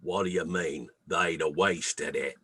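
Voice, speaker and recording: Man acting old rag-and-bone dealer, shady, chuckling, husky, bright, theatrical radio play delivery. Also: low-pitched, steady tone, a little slow, exaggerated voice. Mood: sly amusement. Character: shady old male junk dealer Joe, sly and chuckling.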